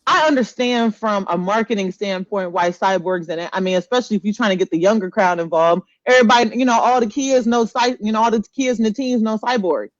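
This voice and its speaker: High-pitched American man, dull close recording. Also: fast talker, few pauses, no room sound. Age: 30s to 40s. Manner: opinionated, teasing. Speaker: podcast co-host. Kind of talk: casual banter about comic book films.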